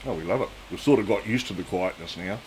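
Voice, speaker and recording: A man's voice: low voice, fast, darkish tone. A man, chatting casually as a local resident being interviewed. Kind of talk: casual chat. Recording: noisy, hissy location interview.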